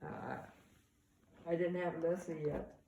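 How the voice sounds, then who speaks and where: An older woman, a mother casually sharing family memories in a halting, conversational way. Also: low-pitched, gravelly, warm tone, highs cut off. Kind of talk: casual oral-history reminiscence. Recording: echoey, distant mic, faint room noise.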